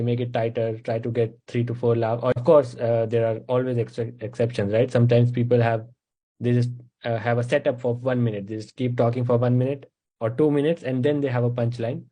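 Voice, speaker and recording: A young man from India with an Indian English accent, a stand-up comedian explaining his craft in a casual interview, step by step with many fillers. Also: mid-range voice. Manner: explains in steady steps, with frequent uh fillers.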